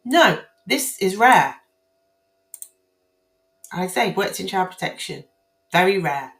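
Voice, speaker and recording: A woman with a hoarse, croaky voice talks to camera in an indignant, emphatic way, circling back and restarting her phrases. Her tone sits a little low and gravelly. The room around her adds a noticeable echo. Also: animated, takes it slowly, a bit bright. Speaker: family court commentator. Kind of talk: casual spoken commentary on guidance to camera. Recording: roomy echo.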